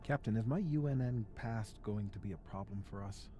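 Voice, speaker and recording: A man's voice: lowish voice, a little fast, dark tone, soft background music, lightly acted. An actor playing an earnest, remorseful man, a deserter and ship's medic, in a dramatic video game. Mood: earnest, subdued.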